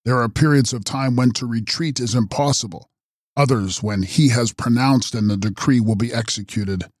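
A man voicing a mysterious, ageless male count who makes grave, measured prophecies in an audiobook. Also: medium pitch, no echo, studio quality, lightly acted. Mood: foreboding. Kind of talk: audiobook character reading.

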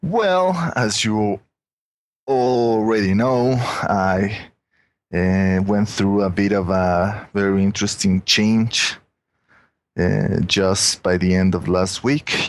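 A man with a Mexican accent chats as a podcast guest, rambling and leaning on "you know." His voice is very low and gravelly. He talks slowly and unhurriedly. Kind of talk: casual podcast chat.

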